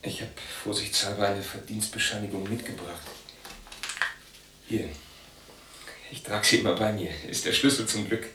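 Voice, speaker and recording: An actor playing a sardonic, restless young East German loner in a radio drama, speaking in a clipped deadpan. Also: male voice, fairly low pitch, normal speed, pauses often, noisy background, close to an everyday voice. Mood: wry, deadpan. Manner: clipped, deadpan, asks and answers himself. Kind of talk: radio drama acting, part inner monologue.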